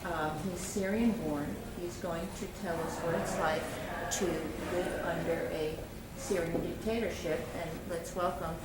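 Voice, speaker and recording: A woman's voice: lowish voice, fairly even intonation, measured pace, hardly any pauses. A woman hosting an interview, asking questions with many ums and restarts. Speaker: interview host. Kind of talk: public-access interview. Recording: echoey room, far from mic, loud noise.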